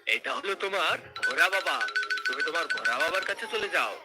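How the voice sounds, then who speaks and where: A man's voice: fairly high pitch, expressive, brisk, lightly acted. A man voicing a helpful cartoon character in a children's skit. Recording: loud music under the voice.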